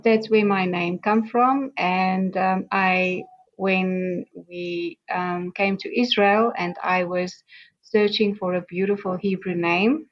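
A middle-aged woman from South Africa, a native Afrikaans speaker with a South African accent, slowly telling her life story as a guest, with many pauses and ums. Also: mid-range voice. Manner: slow, halting, many ums and restarts. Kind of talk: reflective personal storytelling. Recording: dull lo-fi sound.

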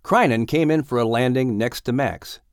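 A man reading a children's audiobook story as a scripted, expressive narrator. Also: medium pitch, moderate pace, no echo, studio quality.

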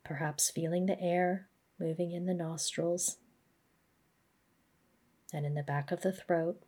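A woman gently guiding a body scan meditation, speaking in a measured, instructional way. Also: fairly low pitch, steady tone. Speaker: mindfulness practice guide.

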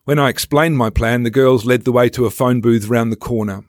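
A man reading a scripted first-person travel memoir as a podcast host, with wry, self-deprecating, measured storytelling in a studio on a close microphone. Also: medium pitch, no room sound.

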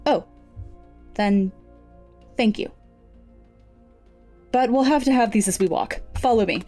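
An actress playing a cool, businesslike research supervisor with a clipped, formal manner in an audio drama. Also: female voice, on the high side, slow pace, warm tone, faint music behind. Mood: guarded. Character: brisk, guarded, businesslike female research supervisor.

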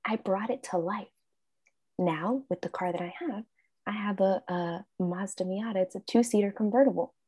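A woman's voice: medium pitch, moderate pace, breathy edge, dry recording, clean studio sound. A woman life coach, a guest in an interview, talking casually and acting out her own thoughts in quotes. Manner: animated, acts out her own quoted thoughts, frequent laughs.

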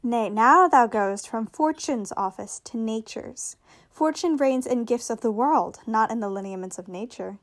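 A witty young noblewoman, played by a woman in a Shakespearean radio drama. Her voice is on the high side, and her delivery is measured, with a wry edge. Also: lightly acted. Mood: wry, playful. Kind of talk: Shakespearean radio play acting.

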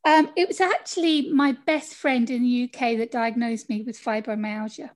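British woman, high voice, chatty storyteller, interview guest, video call. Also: fairly even intonation, moderate pace. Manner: chatty, laughs often, acts out quoted people. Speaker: podcast guest.

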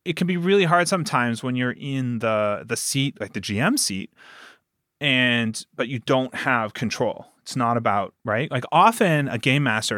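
A man speaking as a podcast guest in casual conversation, telling stories in an animated, emphatic way about his work as a game master. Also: mid-range voice, no echo, studio quality.

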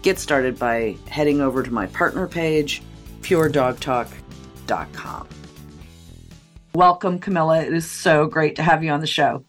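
A woman with a low, deep voice hosts a podcast chat. She talks with effusive emphasis and quick laughs, over faint music. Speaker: podcast host.

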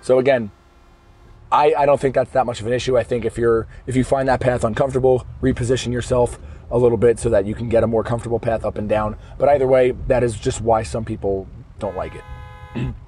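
A hoarse man hosting a fitness podcast from his car in traffic, explaining things casually and often correcting himself mid-sentence. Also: mid-range voice, fairly even intonation, a little fast, warm tone. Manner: conversational, explaining step by step with frequent self-corrections. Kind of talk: casual solo podcast monologue. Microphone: podcast microphone.

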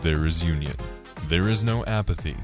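A man with a fairly low voice recites a scripted podcast creed over clear music. His delivery is solemn, in short declarative statements. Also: normal speed. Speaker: podcast announcer.

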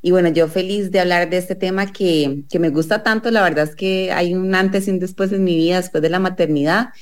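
Chatty low-voiced woman. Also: fairly even intonation, fairly quick. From Colombia, Medellín. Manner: chatty storyteller, many "o sea" fillers. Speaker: guest. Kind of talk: casual interview chat. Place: radio studio.

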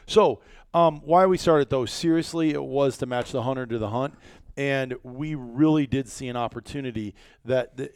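A man's voice: mid-range voice, darkish tone, clear, resonant tone, no echo, studio quality. An American man from rural Iowa hosting a casual podcast, telling stories in a rambling, emphatic way. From rural Iowa, United States. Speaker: podcast host and company founder.

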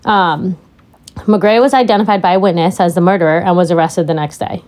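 Loud-voiced woman chatting about true crime. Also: a little fast. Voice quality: loud, deep voice. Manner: chatty, laughing asides. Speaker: podcast co-host. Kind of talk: casual true crime chat.

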